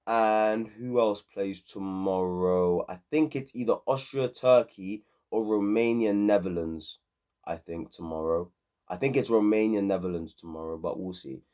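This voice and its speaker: Young guy, hoarse, hyped football review, lots of slang. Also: on the low side, unhurried. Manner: animated, repetitive, slangy. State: hoarse from screaming. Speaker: football channel host. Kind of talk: excited casual match review.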